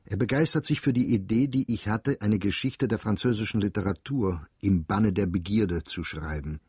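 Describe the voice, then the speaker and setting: Male narrator, husky and low, dry close studio sound, calm reflective diary reading. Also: dry recording. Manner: measured, reflective, understated. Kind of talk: calm literary reading for radio drama.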